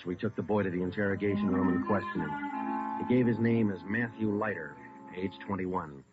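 A very low-voiced, deadpan police detective from an old radio crime show, acted by a man. He is calm and clipped, speaking in plain matter-of-fact sentences on a dull vintage recording. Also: lightly acted. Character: calm, businesslike male police detective narrating his case. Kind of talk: vintage radio police drama, scripted narration.

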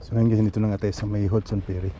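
A man's voice: fairly low pitch, little pitch movement, fast, muffled recording. A man with a Filipino accent chatting casually as he vlogs a fishing outing outdoors by the sea, recorded on a body-worn action camera. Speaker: fishing vlogger. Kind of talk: casual vlog chat while fishing. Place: outdoors on a seaside concrete pier. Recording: loud wind and outdoor noise. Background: wind, water, outdoor noise.

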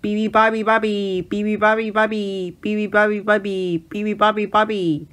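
A man's voice: high-pitched, little pitch movement, moderate pace, a bit bright, clear, resonant tone, pitched above the performer's own voice. A man voicing a cheerful baby bird in a storybook, chirping in a sing-song way. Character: chirpy wide-awake baby bird, singing nonsense.

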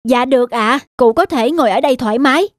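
A woman's voice: high-pitched, fast talker, no echo, studio quality, close to an everyday voice. A woman voicing an earnest young man who sells pottery in a cartoon, humble and courteous. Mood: polite, earnest. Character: polite, earnest young male pottery seller. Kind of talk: cartoon dubbing.

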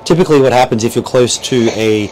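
A man's voice: medium pitch, fast. Man explaining step by step over background noise. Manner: explanatory, step-by-step, checks in with "right?". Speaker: boat dealer instructor. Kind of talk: informal how-to demonstration. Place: small boat cabin at a marina. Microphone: clip-on lavalier mic.

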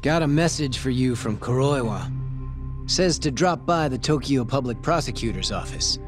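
An actor playing a shifty, needling errand-runner in a video game, talking in a casual, slangy way. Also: male voice, medium pitch, lively intonation, normal speed, over music, close to an everyday voice. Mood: uneasy, casual. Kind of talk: English game voice acting.

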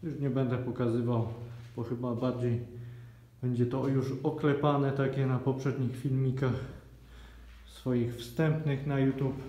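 A young man talks through his workout in a relaxed, even voice, his tone soft and mellow. He is recorded on a phone in a small indoor gym, and the hard room gives the sound a clear echo. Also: medium pitch, measured pace. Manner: relaxed, explains as he trains. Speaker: vlogger. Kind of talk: casual gym vlog. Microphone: phone camera on a stand.

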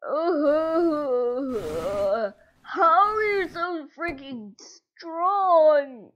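A woman improvising a video game roleplay with playful, dramatic exclamations and drawn-out words. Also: high voice, expressive, a bit bright, a little hiss or hum.

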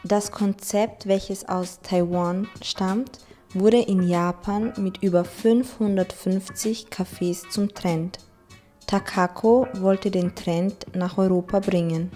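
Mellow female report narrator. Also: medium pitch, fairly even intonation, takes it slowly. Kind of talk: scripted narration. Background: faint music.

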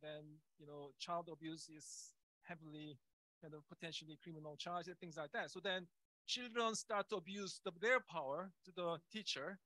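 High-pitched man, halting and searching for words. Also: sharp tone, no room sound, low-quality recording. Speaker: audience member asking a question. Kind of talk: unscripted question at a conference. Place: indoor conference room audience. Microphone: handheld microphone.

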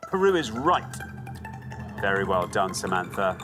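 A man's voice: low-pitched, sing-song, unhurried, over music. A middle-aged man hosting a studio quiz show with a lapel mic, chatty and encouraging. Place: large TV studio with audience.

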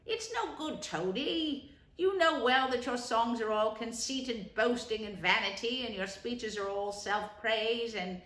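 A woman's voice: on the high side, unhurried, fairly bright tone. A woman voicing a firm, kindly male water rat who speaks in an earnest way. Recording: roomy echo.

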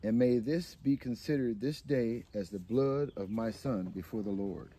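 A man's voice: mid-range voice, moderate pace, warm tone, husky, close to an everyday voice. A man reading as a solemn aged patriarch. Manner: solemn, reverent declaration. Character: aged patriarch offering a ram at an altar.

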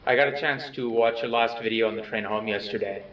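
A man playing an awkward, earnest person recording a low-key video letter to someone absent, speaking haltingly and softly self-effacing. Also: a little hiss or hum, lightly acted. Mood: hesitant, subdued. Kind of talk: low-key found-footage video message monologue. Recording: dull, echoey, distant playback with music.

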